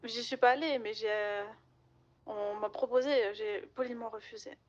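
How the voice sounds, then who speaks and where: A woman telling stories casually in an online roleplay, chatty, slangy and halting, over game voice chat. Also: on the high side, fairly even intonation, muffled recording. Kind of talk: casual in-character storytelling.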